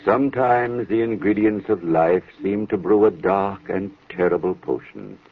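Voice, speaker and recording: A man narrating a scripted drama as host, with grave, deliberate phrasing. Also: lowish voice. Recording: thin, phone-like old radio recording.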